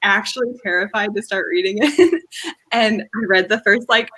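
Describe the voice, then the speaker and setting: A woman's voice: mid-range voice, normal speed, pushed and bright, rough recording. A woman co-hosting a casual book discussion, informal and given to playful exaggeration. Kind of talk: casual chat about books.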